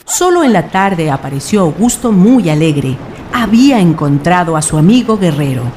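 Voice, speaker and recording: A woman narrating a scripted radio drama in an expressive storytelling manner. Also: lowish voice, normal speed, slight background noise.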